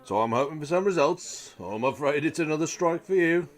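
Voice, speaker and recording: A man plays a smug office boss in an audio drama. His voice sits on the high side, breezy and condescending, with false cheer. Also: expressive, brisk, lightly acted. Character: smug, whimsical male boss, quietly menacing. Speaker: newspaper or magazine boss.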